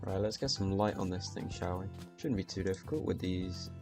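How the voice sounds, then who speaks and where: A teenage man speaking calmly and reflectively, commenting over his gameplay. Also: low voice, fairly quick. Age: teens. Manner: soft-spoken, reflective. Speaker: YouTuber. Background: faint music.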